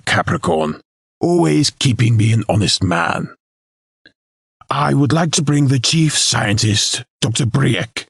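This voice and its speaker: An actor playing a self-important, scheming noble lord in an audio drama, with formal, measured, pompous delivery. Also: male voice, medium pitch, gravelly, husky, no echo, studio quality, full character voice. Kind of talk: scripted audio drama acting.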